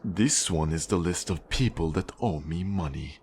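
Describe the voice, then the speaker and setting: An actor playing a cold, menacing Russian mafia boss in an ASMR roleplay, with a Russian accent and a deliberate, condescending manner. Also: male voice, low voice, darkish tone, slight background noise. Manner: slow, deliberate, controlling, condescending. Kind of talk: ASMR roleplay monologue addressed to the listener.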